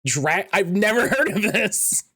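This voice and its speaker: A man's voice: high-pitched, expressive, a little slow, sharp tone, breathy edge. A man hosting a podcast interview, rambling with lots of fillers and restarts. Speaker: podcast host.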